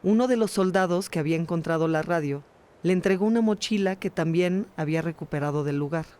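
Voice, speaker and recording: A woman's voice: fairly low pitch, fast talker, warm tone. A woman hosting and narrating a documentary podcast in a scripted storytelling style. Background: music under the voice.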